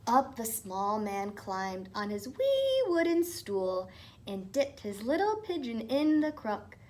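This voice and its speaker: A woman's voice: on the high side, very animated, slow pace, a little mellow, a little hiss or hum. A woman narrating a children's storybook as a teacher reading aloud.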